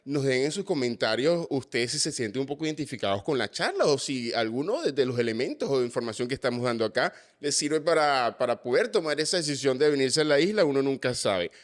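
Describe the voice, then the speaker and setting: A young Venezuelan man hosting a casual interview, chatty and animated, with jokey asides. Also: medium pitch, fast talker, hardly any pauses. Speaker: host.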